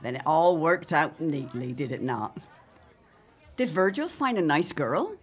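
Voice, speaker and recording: An actress playing a grand, gossipy society matron with an arch, formal manner in a period audio drama. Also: female voice, low voice, sing-song, measured pace, slightly rough, exaggerated voice. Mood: arch, inquisitive.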